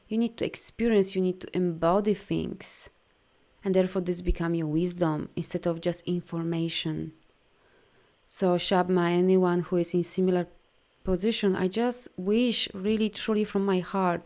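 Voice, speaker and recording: A woman with a Polish accent speaks from the heart in a live coaching talk, her phrasing halting and non-native. Her middle-aged voice sits at an ordinary pitch with a mellow, warm tone. She sounds sincere and encouraging. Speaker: life coach.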